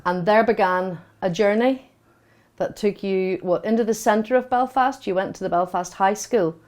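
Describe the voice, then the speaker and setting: A woman's voice: medium pitch, normal speed, darkish tone. A woman, asking encouraging questions in an interview.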